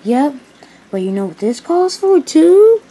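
A woman voicing a helpful, determined male rescue engine in a children's story, brisk and emphatic. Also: medium pitch, darkish tone, slightly rough, poor audio. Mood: brisk, bossy.

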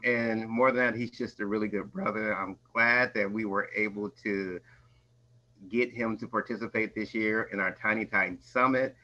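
A man's voice: on the low side, sharp tone. An American man informally hosting an online event from an office over a video call. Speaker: event host. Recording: slightly dull video-call audio.

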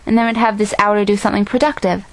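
A woman's voice: mid-range voice, fairly even intonation, very fast, close to an everyday voice. A young woman voicing a sassy, blunt teenage best friend in an amateur audition. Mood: indignant, scheming. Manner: snarky, blunt. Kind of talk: amateur audition line reading.

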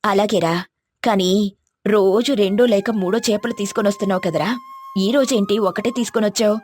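A woman voicing a kindly village mother of a grown son in an animated moral story. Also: mid-range voice, moderate pace, lightly acted. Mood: gentle, questioning.

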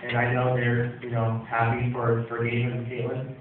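A young man giving a best man toast at a wedding reception, halting with many fillers and restarts, speaking into a handheld mic in a dim hall. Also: medium pitch, completely flat delivery. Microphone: handheld mic, filmed by a far phone. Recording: tinny phone video from far off, echoey room. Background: crowd of guests.

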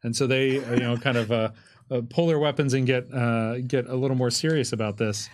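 A man in his thirties acting as game master, narrating a tabletop roleplaying game in a casual, halting way. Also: medium pitch, fairly even intonation, a little hiss or hum. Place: indoor streaming studio with curtain backdrop. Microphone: desk microphone.

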